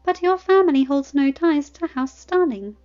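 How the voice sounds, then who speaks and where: A woman's voice: high voice, lively intonation, normal speed, a little mellow, dull audio, faint music behind, pitched above the performer's own voice. A woman voicing an indignant, jealous young noble lady in audiobook dialogue, pointed and hot-tempered. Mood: coolly pointed.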